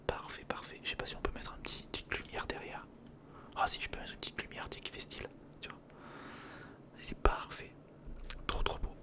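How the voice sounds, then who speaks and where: A man's voice: fairly quick, long pauses. Whispering man doing ASMR. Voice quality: whispered, breathy. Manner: informal, talks to the viewer as a friend, murmuring step by step. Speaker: ASMR video creator, host. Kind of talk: ASMR, casual running commentary while building a model. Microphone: close recorder microphone.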